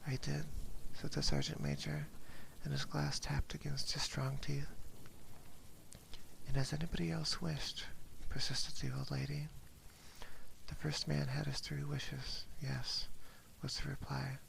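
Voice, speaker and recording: Male ASMR storyteller: close-miked, breathy half-whisper, strong vocal fry, subdued intonation. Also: fairly high pitch, level tone, measured pace, a bit bright, a little hiss or hum, poor audio. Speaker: ASMR streamer reading a story aloud. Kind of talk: ASMR reading of a classic horror short story. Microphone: binaural ASMR microphone, very close.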